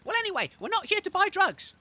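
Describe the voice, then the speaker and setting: A woman's voice: very high-pitched, sing-song, quick pace, bright, forceful tone, full character voice. A woman playing an earnest, naive, easily exasperated young adventurer in a comedy audio drama, flustered and indignant.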